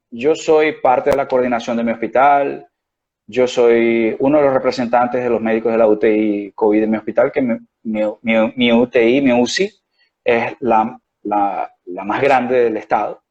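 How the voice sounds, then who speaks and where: A man's voice: mid-range voice, normal speed, a little mellow, highs cut off. A man with a Venezuelan accent, a guest doctor explaining things in a rambling way with many restarts and fillers. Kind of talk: conversational interview.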